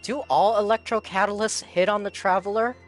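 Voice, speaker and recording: A man's voice: sits high, fairly even intonation, fairly quick, soft background music. A man giving casual, rambling live reaction commentary as a game streamer on a close microphone. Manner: rambling, informal speculation.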